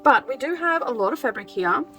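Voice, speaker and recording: A woman with a croaky voice chatting casually as she sews, thinking aloud with lots of ums. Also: high voice, animated, fairly quick, bright, forceful tone, soft background music. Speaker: craft vlogger. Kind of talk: casual craft vlog chat.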